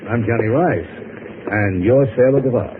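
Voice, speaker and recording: A man's voice: medium pitch, moderate pace, phone-quality sound, natural voice. An actor playing a menacing, sardonic gunman in 1950s radio drama, talking with mocking politeness. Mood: sardonic menace. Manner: smooth-talking, mocking, threatening. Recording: noisy, band-limited vintage radio recording.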